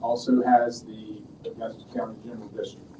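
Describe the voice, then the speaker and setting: A man, a city attorney, giving a formal legal presentation at a council meeting, measured and procedural, citing code sections and dates. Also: lowish voice, little pitch movement. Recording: echoey chamber audio from far off, faint noise.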